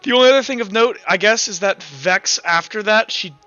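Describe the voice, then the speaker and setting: Bright, higher-pitched man with a stammering delivery. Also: brisk, no room sound, lo-fi sound, soft background music. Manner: stammers, repeating words as he goes. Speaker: game master running an online tabletop roleplaying session. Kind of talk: casual game chat, hosting. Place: online voice call.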